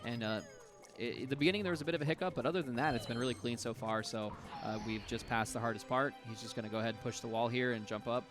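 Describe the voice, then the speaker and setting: A man doing live commentary on a speedrun, relaxed and chatty, explaining tricks and laughing often. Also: mid-range voice, fast talker, hardly any pauses. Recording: music playing behind.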